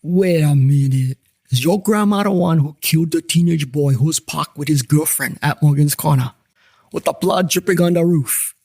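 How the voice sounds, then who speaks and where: A man's voice: fairly high pitch, normal speed, warm tone, dry recording, clean studio sound. A man playing a wisecracking private paranormal investigator, narrating his own story in a wry, colloquial, self-mocking way. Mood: casual, skeptical. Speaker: narrator and lead character. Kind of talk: scripted first-person noir-comedy narration. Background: guitar music.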